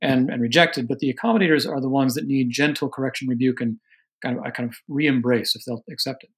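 An American man from Oregon, an army veteran, answering interview questions in a measured, earnest way that carefully qualifies each point. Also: mid-range voice, steady tone. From Oregon, USA. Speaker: guest author on religion and politics.

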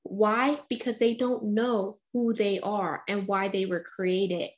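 Woman host, motivational, enthusiastic, preachy phrasing, mid-pitched voice. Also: flat delivery, slow pace. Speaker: interview host. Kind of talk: motivational interview talk.

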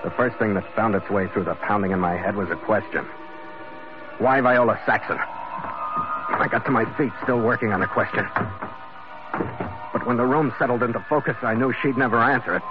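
An actor playing a tough, world-weary investigator in an old-time radio noir, with clipped, dry, wisecracking delivery. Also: male voice, medium pitch, normal speed, long pauses, lightly acted. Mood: hardboiled narrating. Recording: muffled vintage radio recording, music behind.

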